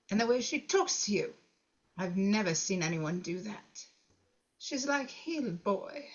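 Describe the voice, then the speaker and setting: A woman's voice: on the high side, fairly bright tone, dull audio. A woman reading the part of a cheeky, curious young female companion at a script table read, pressing with teasing questions.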